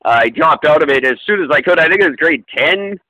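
A man's voice: a little high, lively intonation, a little fast, pushed and bright, no echo, low-quality recording. A man in casual podcast chat, talking in a rambling, self-deprecating way with many fillers and restarts. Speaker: podcast co-host.

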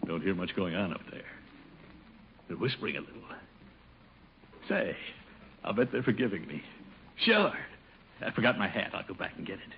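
An actor playing a pompous, easily flustered middle-aged water commissioner in a blustery 1950s radio sitcom style. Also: male voice, deep voice, very animated, moderate pace, long pauses. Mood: brooding. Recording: muffled old radio recording, faint hiss.

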